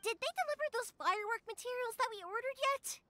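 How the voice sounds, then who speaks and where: A woman voicing a cheerful, excitable young firework maker in a video game, chatty and expressive. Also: very high-pitched, fast, slight background noise, full character voice. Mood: exasperated, insistent. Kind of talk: English video game voice acting.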